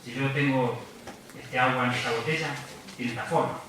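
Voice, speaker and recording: A man gives a reflective, earnest lecture, circling back over his own phrases. His voice is bright and forceful. He sounds distant from the mic in a hugely echoing hall with faint noise behind. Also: mid-range voice, fairly even intonation, moderate pace. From Argentina. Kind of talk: public lecture. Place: large university lecture hall.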